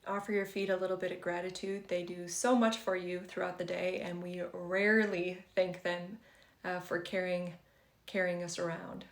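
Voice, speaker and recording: A woman leading a guided meditation for beginners in a gentle, conversational and reassuring way. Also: lowish voice, flat delivery, normal speed. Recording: roomy, echoing sound.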